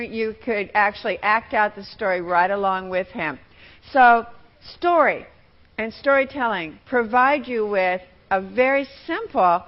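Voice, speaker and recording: A woman giving a teaching talk as a workshop trainer, with deliberate, emphatic phrasing. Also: mid-range voice, highs cut off.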